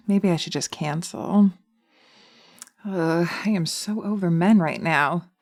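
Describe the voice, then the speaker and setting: A woman's voice: fairly low pitch, slow pace, gravelly, a little mellow, full character voice. A woman voicing a brash, sarcastic witch in an audio roleplay, blunt and teasing in an exasperated way. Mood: weary. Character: brash, sarcastic young witch fed up with men.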